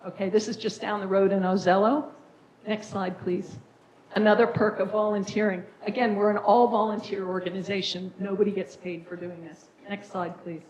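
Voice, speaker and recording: A raspy-voiced woman gives a council presentation in a casual, friendly way, with plenty of fillers. Her voice sits mid-range in pitch. The chamber around her is noticeably echoey. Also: flat delivery, moderate pace, a little mellow, a little hiss or hum. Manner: friendly, informal presenting style with frequent fillers. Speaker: nonprofit director giving a presentation. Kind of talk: public presentation at a council meeting.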